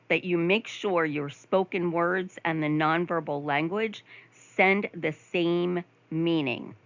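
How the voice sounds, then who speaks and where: A woman instructor giving a conversational recorded lecture over slides, with frequent ums, asides, and throat clearing. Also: lowish voice, fairly bright tone, no echo, muffled recording. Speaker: nursing instructor.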